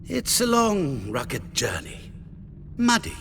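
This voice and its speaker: A man's voice: fairly high pitch, huge pitch swings, slow pace, gravelly, a bit bright, a little hiss or hum, heavily acted. A man playing a hesitant, stiff middle-aged aristocratic father in a video game. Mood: evasive. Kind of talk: video game cutscene acting.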